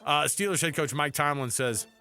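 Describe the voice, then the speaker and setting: A man's voice: a little high, moderate pace, pushed and bright, soft background music. An American man co-hosting a sports talk show, bantering casually and reacting with sarcastic disbelief.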